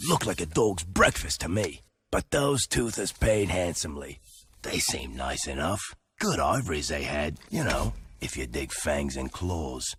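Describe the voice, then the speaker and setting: A blustery, slang-heavy trader in a game. The voice is very deep and swings widely. Faint noise hums behind. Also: male voice, exaggerated voice.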